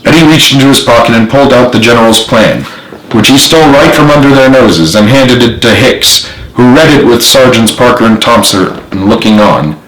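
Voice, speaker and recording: A man's voice: medium pitch, expressive, moderate pace, a bit bright. Man narrating a story in echoey room. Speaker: author narrating own book.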